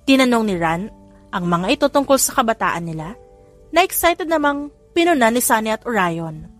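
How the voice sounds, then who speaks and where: A woman narrates a romance audiobook in a fairly high voice. The reading is scripted storytelling, with music playing behind it. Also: big pitch swings, moderate pace.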